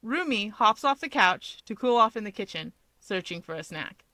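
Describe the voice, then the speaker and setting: Female narrator, expressive story reading, bright tone, dry sound. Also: mid-range voice, moderate pace, dry recording. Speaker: fan fiction narrator.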